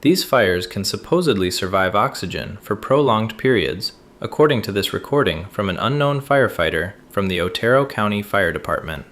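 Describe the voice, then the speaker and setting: A solemn evening news anchor voiced by a man reads a scripted bulletin. The voice sits fairly low and stays formal and somber. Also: expressive, normal speed, hardly any pauses, a bit bright.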